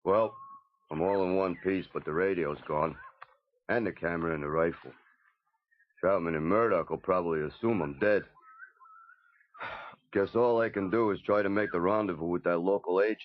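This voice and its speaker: A man's voice: low voice, moderate pace, pauses often, close to an everyday voice. A man playing a terse, sardonic American commando hero in a children's audio story. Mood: terse, grim. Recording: dull, noisy vintage cassette.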